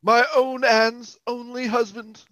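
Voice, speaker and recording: A woman's voice: on the high side, flat delivery, takes it slowly, a bit bright. A woman playing an ardent, smitten secret lover in a melodramatic radio romance parody. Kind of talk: over-the-top old-time radio romance parody.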